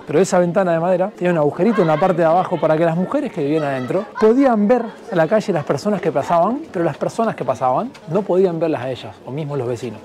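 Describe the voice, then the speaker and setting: A man's voice: fairly high pitch, warm tone. Upbeat man guiding a market tour. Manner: enthusiastic, addresses viewers directly. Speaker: travel vlogger. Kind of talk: walking tour commentary to camera. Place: busy outdoor market streets in an old medina. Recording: busy crowd noise outdoors.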